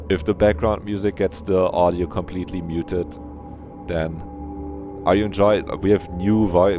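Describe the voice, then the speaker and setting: Very deep-voiced man livestreaming over music. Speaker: livestreamer and host. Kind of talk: casual livestream chat. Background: background game music.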